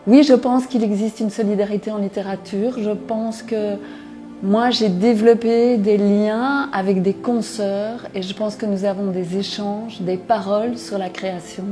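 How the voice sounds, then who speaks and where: A woman's voice: medium pitch, fairly even intonation, unhurried, mellow, faint music behind. A woman writer speaking earnestly about solidarity in an interview. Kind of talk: reflective interview testimony.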